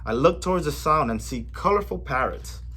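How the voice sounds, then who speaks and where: A man reading a children's picture book aloud in a gentle, measured way, with an occasional small slip. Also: a little high, animated, breathy edge. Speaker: storybook reader for a library.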